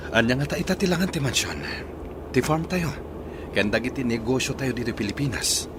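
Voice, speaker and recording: A man's voice: mid-range voice, expressive, a little fast, noisy background, lightly acted. An actor with an Ilocano accent playing a stressed grown son in a radio drama who insists and pleads with his mother. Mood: insistent.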